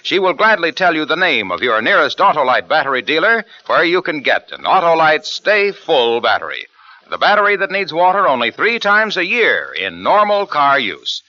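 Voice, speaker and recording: A man announcing a radio commercial in an emphatic, lively sales delivery. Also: fairly high pitch, moderate pace. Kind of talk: 1940s radio commercial pitch. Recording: dull old radio broadcast.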